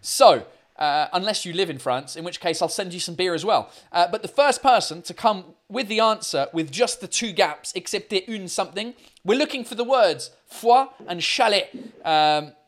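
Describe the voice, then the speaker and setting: A man co-hosting a livestream quiz, chatting casually with viewers in a loud, laughing, swearing style full of fillers. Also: sits high, lively intonation, normal speed. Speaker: livestream co-host running a quiz.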